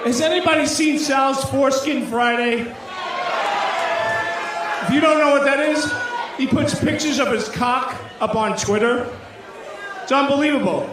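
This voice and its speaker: A man doing brash, profane insult stand-up, shouting to a live audience in a hall. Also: very high voice, measured pace, long pauses. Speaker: stand-up comedian. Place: on stage in a live music hall. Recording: echoey noisy club, far off, crowd.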